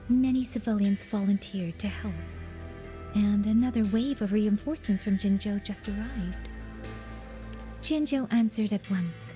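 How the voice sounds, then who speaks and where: An actress playing a composed female military officer in a video game who reports in a brisk, dutiful and measured way. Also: medium pitch, dark tone, close to an everyday voice.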